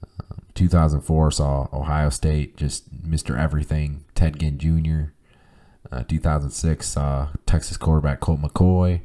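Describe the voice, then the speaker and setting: A hoarse man getting over the flu, hosting a casual solo podcast and talking in a halting way with many fillers. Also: extremely low pitch, little pitch movement, warm tone. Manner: halting, many ums and uhs, reads off lists of stats. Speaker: podcast host.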